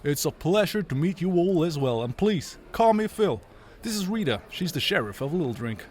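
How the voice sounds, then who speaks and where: A man's voice: a little high, sing-song, normal speed, a little mellow, a little hiss or hum. A man playing a courteous, folksy wasteland mayor in an audio drama, polite and conciliatory. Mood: genial. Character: genial, courteous small-town male wasteland mayor.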